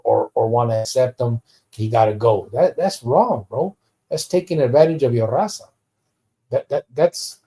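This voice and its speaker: A man's voice: mid-range voice, warm tone. A man from the United States hosting a live stream in casual chat, blunt and profane, dropping slang into his English and reading out comments. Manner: slips Spanish slang into English. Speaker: podcast host.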